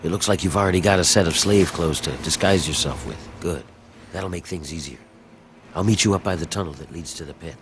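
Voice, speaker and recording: An actor playing a weary, terse slave rebel in a video game, gruff and businesslike. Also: male voice, sits low, a little fast, slight background noise, close to an everyday voice.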